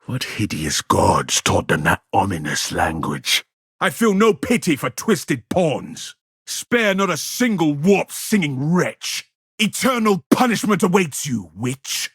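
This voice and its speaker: An actor playing a stern, righteous space-marine warrior in a video game, delivering pompous battle declarations in a gravelly, husky voice. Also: male voice, on the high side, big pitch swings, slow, a bit bright, dry recording, clean studio sound, heavily acted. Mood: scornful.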